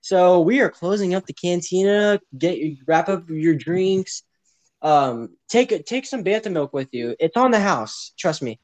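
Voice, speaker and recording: A man's voice: high voice, expressive, measured pace. Upbeat male podcast host on a call. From Mexico. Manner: playful, upbeat, asks lots of questions. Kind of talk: casual chat. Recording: remote call, voice breaking up.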